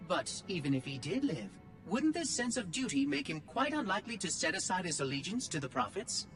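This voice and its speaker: A woman's voice: fairly low pitch, very animated, fairly quick. A woman voicing a wise oracle in a game cutscene, formal, articulate and probing. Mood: measured concern. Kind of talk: dramatic game cutscene acting. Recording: loud music underneath.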